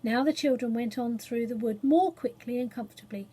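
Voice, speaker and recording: High, slightly husky woman storyteller. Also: brisk, dark tone. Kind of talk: expressive children's story narration.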